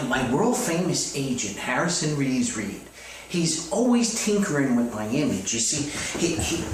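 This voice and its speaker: A man's voice: fairly high pitch, slight background noise, pitched above the performer's own voice. A man playing a chipper, bumbling, delusional self-styled famous author in a comedy skit, stammering and rambling in a folksy, optimistic way. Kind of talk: improvised-sounding monologue comedy skit. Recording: echoey room, far from the mic.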